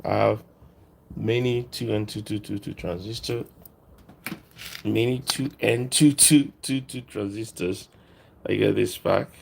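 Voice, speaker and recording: A man presenting a casual hands-on electronics tutorial, explaining as he works in a halting, informal way, thinking aloud. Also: fairly low pitch, gravelly, a little mellow, a little hiss or hum.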